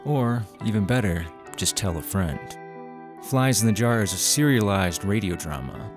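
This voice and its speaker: A man's voice: fairly low pitch, expressive, moderate pace. A man giving a scripted podcast introduction as the show's writer and host. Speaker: writer and creator introducing the show. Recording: music behind the voice. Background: music.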